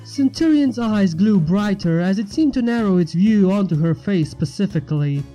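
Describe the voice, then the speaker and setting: A woman's voice: mid-range voice, lively intonation, moderate pace, dark tone, soft background music, poor audio. A woman reading scripted fiction aloud as the narrator.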